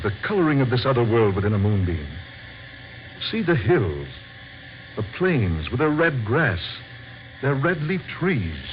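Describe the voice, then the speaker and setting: An actor playing a grave, visionary scientist in an old-time radio drama, speaking formally and with theatrical deliberation. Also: male voice, medium pitch. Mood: awed, intent. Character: grave, visionary male scientist unveiling his secret discovery. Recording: dull, faintly noisy old radio recording.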